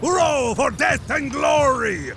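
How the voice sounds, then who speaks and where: A man acts out a fierce Viking captain barking orders in a dramatic audio drama. His voice is very high for a man, gravelly and shouted, with music behind. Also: lively intonation, slow, full character voice. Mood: rousing, fierce. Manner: forceful, bellowing orders. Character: gruff, fierce, bellowing Viking war captain.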